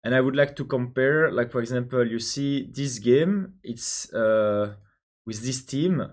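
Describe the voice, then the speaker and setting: Slow-talking Belgian French man coding. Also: medium pitch. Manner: hesitant, many ums and restarts, answers chat questions. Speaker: programmer live-streaming his coding. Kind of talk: thinking out loud while coding.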